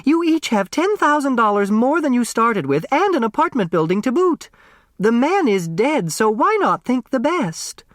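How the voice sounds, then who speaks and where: A man voicing a kindly, motherly older woman in a storybook, fussy and gently chiding. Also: very high-pitched, big pitch swings, normal speed, no room sound, clean studio sound, pitched above the performer's own voice, heavily acted.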